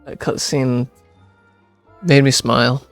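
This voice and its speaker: A man's voice: medium pitch, steady tone, slow, vocal fry, fairly bright tone, no room sound, faint music behind. A man streaming a video game, giving informal, offhand reactions in casual commentary.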